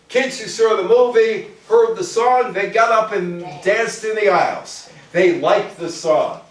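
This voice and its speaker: A man giving an informal history lecture to a live audience, putting rhetorical questions to his listeners. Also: a little high. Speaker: lecturer, public speaker. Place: indoor room with a live audience. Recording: very echoey room, distant mic.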